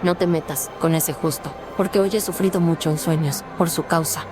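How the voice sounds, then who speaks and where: A governor's troubled wife, voiced by a woman, pleads urgently in a notably low voice. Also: steady tone, normal speed, close to an everyday voice.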